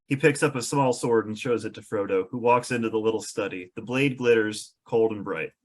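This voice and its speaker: A man's voice: fairly low pitch, brisk. A man reading scripted narration as the narrator of stage directions.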